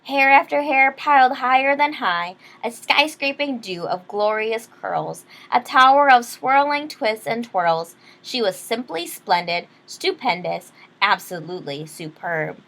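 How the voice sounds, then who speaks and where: Animated high-pitched woman reading to children. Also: a little slow, hardly any pauses, low-quality recording. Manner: expressive, explains words to children. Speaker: read-aloud storyteller. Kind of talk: animated children's picture-book reading with asides to young listeners.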